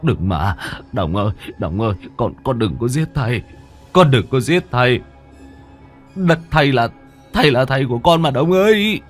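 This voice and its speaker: A man's voice: medium pitch, big pitch swings, moderate pace, soft background music, pitched above the performer's own voice. A man voicing a terrified old village tyrant in a horror story, pleading and stammering as he begs for his life. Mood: desperate pleading. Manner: pleading, stammering, repeating himself.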